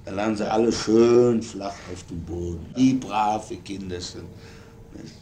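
A man's voice: fairly low pitch, measured pace, mellow. Roomy, noisy recording of man reminiscing. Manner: colloquial, repeats words, vivid storytelling. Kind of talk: casual spoken recollection.

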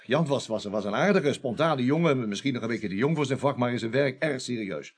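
A man's voice: mid-range voice, animated, fairly quick, breathy edge. An actor playing a cornered, evasive security man in a radio crime drama, stammering and defensive. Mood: hesitant, evasive.

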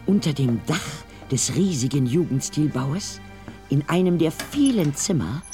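An actor narrating a German audio drama as a storybook narrator. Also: male voice, a little high, heavily acted. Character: storybook narrator of a ghost tale.

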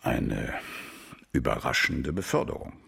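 A cool police officer answers his superior in a husky, gravelly voice with lively intonation. He is guarded and deferential but astute, in radio drama style. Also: rumbling low, unhurried, exaggerated voice. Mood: cool, pragmatic. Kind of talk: German radio drama acting.

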